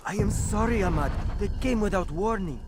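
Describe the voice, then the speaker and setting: High-voiced, sorrowful bearer of bad news man acting. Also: a little fast, very noisy, natural voice. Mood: sorrowful, pleading. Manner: apologetic, pleading. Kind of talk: dramatic video game voice acting. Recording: music behind the voice.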